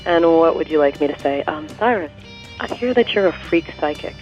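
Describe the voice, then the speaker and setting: An actress playing a fair-minded, sarcastic hospital worker in an audio soap opera, with a dry, skeptical manner. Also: female voice, sits low, animated, moderate pace, highs cut off, soft background music. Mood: defensive, sarcastic.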